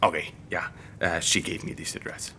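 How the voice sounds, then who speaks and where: A man acting a puzzled, hesitant character in an audio drama, speaking in a halting, questioning, naturalistic way. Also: low voice, flat delivery, bright, forceful tone, a little hiss or hum. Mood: confused, hesitant. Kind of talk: naturalistic fiction podcast acting.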